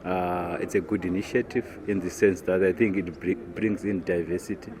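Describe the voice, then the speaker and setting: A man giving an unscripted, halting, measured interview answer. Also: low-pitched, fairly even intonation. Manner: hesitant, measured, halting phrases. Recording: noisy location interview, ambient sound.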